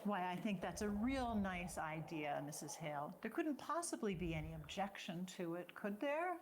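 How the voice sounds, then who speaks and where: A woman's voice: fairly low pitch, expressive, normal speed, background noise, natural voice. A woman playing a reserved, kindly small-town wife in a stage drama, speaking in a proper and hesitant way. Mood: polite, unsure. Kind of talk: stage drama dialogue acting.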